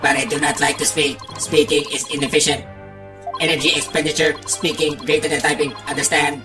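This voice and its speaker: A woman's voice: low-pitched, level tone, fairly quick, reverberant, far from the mic, natural voice. A woman voicing a terse male bomb expert with a robotic, clipped, unemotional delivery in a game fandub. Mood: flat, matter-of-fact. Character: terse male bomb expert talking through speech synthesizer. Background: prominent game music.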